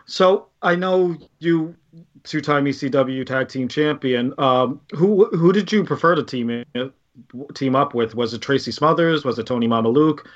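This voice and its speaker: A man's voice: mid-range voice, normal speed. Male podcast host, muffled sound. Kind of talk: asking interview questions.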